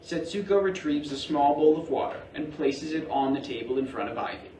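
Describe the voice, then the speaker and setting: A man's voice: on the high side, lively intonation, fairly quick, warm tone. A man reading out stage directions as scripted narration at a staged play reading. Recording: distant, echoey, faintly noisy staged reading.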